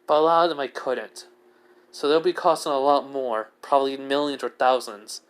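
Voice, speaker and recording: A man's voice: mid-range voice, steady tone, normal speed, smooth, lightly acted. A man voicing an amused, bossy goddess in a fantasy story, teasing and matter-of-fact. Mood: amused, explaining.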